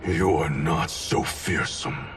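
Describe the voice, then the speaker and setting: Proud elder-brother clan warrior for a fighting game: very low, unhurried, stern and cold. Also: male voice, fairly even intonation, distant mic, loud music behind the voice, full character voice. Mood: cold, resolute. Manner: stern, commanding, measured. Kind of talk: dramatic video game acting.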